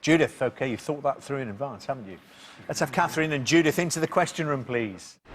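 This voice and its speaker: A man hosting a television quiz show, reading the scripted intro and questions clearly and bantering with the contestants. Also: medium pitch, heavy background noise. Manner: jovial, reads questions deliberately, chuckles at his own puns.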